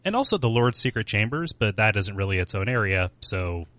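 A man's voice: fairly low pitch, big pitch swings, a little fast, sharp tone, no echo. A man co-hosting a podcast, casual and jokey, laughing often. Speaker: podcast co-host. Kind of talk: casual chat.

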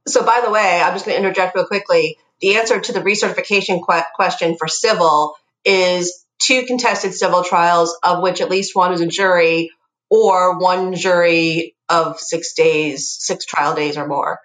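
A woman's voice: lowish voice, fairly even intonation, normal speed, a bit bright. A woman lawyer, a podcast guest, talking in a chatty, nerdy way with light laughs. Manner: chatty, self-described nerd, laughs lightly. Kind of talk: casual podcast interview. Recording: echoey room.

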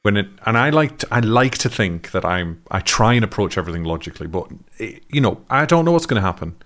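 A man's voice: lowish voice, big pitch swings, fast talker, fairly bright tone. A man talking things through in a casual chat, earnest and thoughtful, often restarting his sentences.

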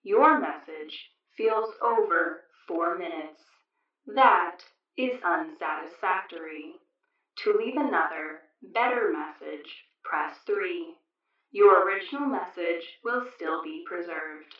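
Heavily echoing and far from the mic, an eerie automated voicemail system speaks in a slow, measured female voice. It is formal, impersonal and coldly judgmental, like a phone announcement in an audio drama. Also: lowish voice, expressive. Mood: cold, disapproving. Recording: echoing, distant, dull, faintly noisy line.

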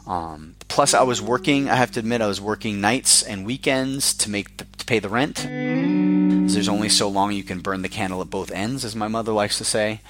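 A man's voice: on the low side, animated, moderate pace, loud music behind the voice. An American man talking conversationally about himself in an informal, emphatic storytelling way, as a guest in an interview.

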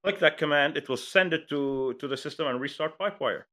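A man with a Lebanese accent co-hosting a casual tech podcast, talking in halting bursts with many fillers and restarts. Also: on the high side, sharp tone. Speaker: podcast co-host.

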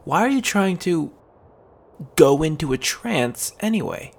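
A man voicing a cautious young boy who speaks in a hushed, tentative way. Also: on the high side, lively intonation, measured pace, vocal fry, voice pushed up, full character voice. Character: young boy, cautious, tender yet impatient.

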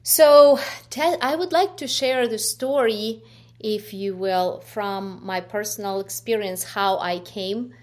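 Middle-aged Ukrainian-accented woman, slow and soft-spoken interview guest sharing her story. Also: mid-range voice. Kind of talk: personal testimony interview.

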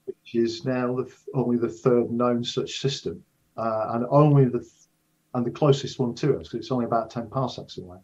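An older man speaking as an expert guest in an interview, explaining carefully in a measured, unscripted way with restarted phrases. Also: mid-range voice, fairly even intonation, a little mellow, dull audio. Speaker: interview guest, astrophysics professor.

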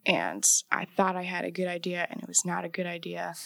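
A woman's voice: lowish voice, animated, brisk, creaky, a bit bright, no room sound. Young woman guest, chatty and loose, dry recording. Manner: chatty, many "like" fillers, laughs often. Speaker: painter and singer, guest. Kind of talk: casual interview chat.

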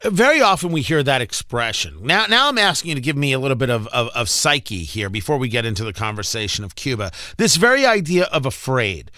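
A man hosting a political interview, asking long, deliberate, emphatic questions in halting phrases. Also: mid-range voice, very animated, pushed and bright, dry recording, studio quality. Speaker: radio interviewer, host.

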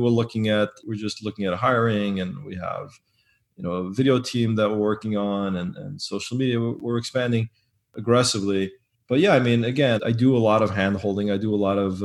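A man being interviewed as a business owner, talking casually in a loud, emphatic way that often repeats words. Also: on the low side, vocal fry.

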